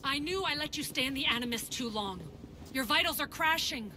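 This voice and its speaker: An actress playing a protective doctor in a game cutscene, firm and scolding but caring. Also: female voice, high voice, lively intonation, a little fast. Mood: alarmed. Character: protective, exasperated woman doctor. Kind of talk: dramatic game cutscene acting. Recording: reverberant hall sound.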